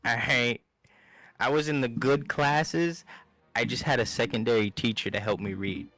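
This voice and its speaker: A man's voice: mid-range voice, fairly even intonation, normal speed, fairly bright tone, no room sound, soft background music. Blunt young man with Southern accent. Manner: blunt, swears casually. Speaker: gaming streamer. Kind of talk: casual chat while gaming.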